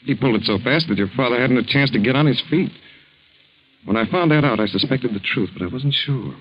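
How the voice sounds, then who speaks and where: An actor playing a protective young suitor in a 1940s radio drama, earnest, urgent and reassuring. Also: male voice, mid-range voice, lively intonation, a little fast, natural voice. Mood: urgent, explaining. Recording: dull old 1940s radio recording.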